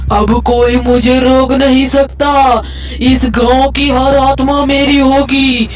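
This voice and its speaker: A woman's voice: high voice, level tone, takes it slowly, soft background music. A woman voicing an evil, power-hungry female witch demon in a cartoon horror story, boastful and menacing. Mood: menacing, gloating.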